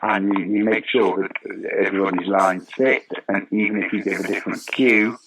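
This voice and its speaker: A man guest recalling a theatre story in a lively, anecdotal way, with many fillers. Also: low voice, moderate pace, low-quality recording. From Britain. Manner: animated, acts out quoted lines, frequent fillers. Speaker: interview guest.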